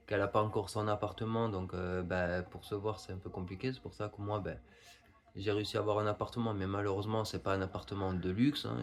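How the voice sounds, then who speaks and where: A man's voice: deep voice, vocal fry. A man in his thirties chatting casually beside his partner, hesitant and full of fillers. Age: 30s. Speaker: vlogger's partner. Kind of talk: casual chat.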